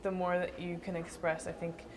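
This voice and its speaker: A young woman dancer answering interview questions thoughtfully, with frequent pauses and restarts. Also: fairly low pitch, flat delivery, darkish tone, a little hiss or hum. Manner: thoughtful, hesitant with fillers and restarts.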